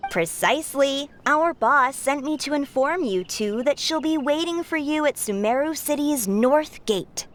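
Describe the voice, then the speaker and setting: A woman's voice: high-pitched, lively intonation, a little slow, hardly any pauses, noisy background. A woman voicing a polite, sly merchant's messenger in a video game, coy and courteous. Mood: inviting.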